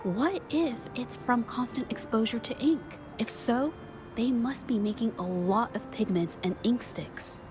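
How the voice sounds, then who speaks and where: A woman doing a calm young investigator who works through clues out loud. Her voice is slightly high, steady and measured. This is game voice acting, with music clearly behind it. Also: lightly acted. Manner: reasoning aloud, measured.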